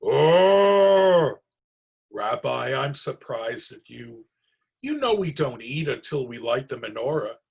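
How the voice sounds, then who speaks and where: A man plays a kindly old grandmother from a storybook. She is chatty and fondly scolding, with a husky voice that lifts and dips expressively. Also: mid-range voice, big pitch swings, slow pace, full character voice. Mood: fond, welcoming.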